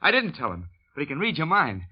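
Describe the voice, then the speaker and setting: A man's voice: a little high, huge pitch swings, fast, breathy edge, full character voice. A nervous, desperate saboteur pleads and stammers through this old radio play. His voice sits in a mid range, and the recording is dull and muffled. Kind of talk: 1940s radio acting.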